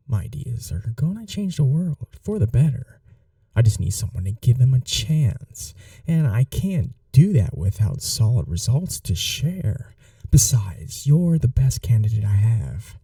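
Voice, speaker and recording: A man's voice: medium pitch, very animated, unhurried, creaky, mellow, dry recording, distant mic, full character voice. A young man playing a smug, chatty mad scientist college roommate in an intimate ASMR audio roleplay, coaxing and condescending in a casual way. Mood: coaxing, eager.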